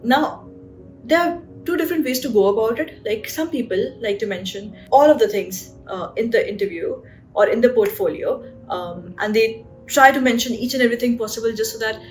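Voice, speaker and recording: A woman's voice: a little high, a little fast. A young woman doctor with an Indian accent, giving chatty advice in a vlog with lots of fillers and "right?" tag questions. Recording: voice a bit distant from the mic, guitar music behind.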